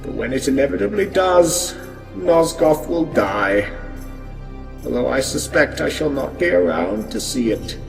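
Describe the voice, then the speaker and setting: An actor playing a mad, grief-stricken sorcerer, delivering brooding, theatrical monologues in an audio drama, bitterly resigned to doom. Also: male voice, a little high, gravelly. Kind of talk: fan audio drama acting. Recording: echoey cavernous reverb with music.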